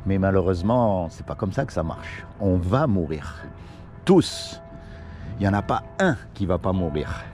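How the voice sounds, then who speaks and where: A man's voice: deep voice, sing-song, moderate pace, darkish tone, soft background music. A man from Morocco doing casual one-on-one street preaching, earnest and pleading, full of everyday examples. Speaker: street evangelist. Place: outdoors on a city street.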